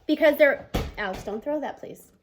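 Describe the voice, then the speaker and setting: A woman in her thirties reading a children's novel aloud as an expressive narrator, recorded at home on a device mic. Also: sits high, moderate pace, a little hiss or hum. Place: small room at home, indoors.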